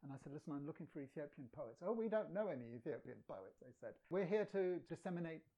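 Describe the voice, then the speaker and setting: A man's voice: fairly high pitch, lively intonation, fast, dark tone, rough recording. A man who grew up partly in England, chatting as a guest poet and translator, telling anecdotes and laughing now and then. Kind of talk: casual storytelling.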